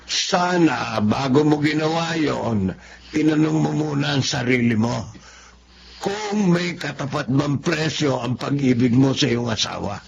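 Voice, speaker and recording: A man's voice: mid-range voice, animated, a little slow, gravelly. An actor playing a worried, reproachful elderly Filipino father in a radio drama, admonishing his grown son. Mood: stern reproach. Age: older. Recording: dull lo-fi radio recording, faint noise.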